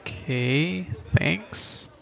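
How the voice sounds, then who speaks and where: A man's voice: a little high, very slow, gravelly, sharp tone, full character voice. A man playing a timid, polite medic in an audio drama, hesitant and apologetic.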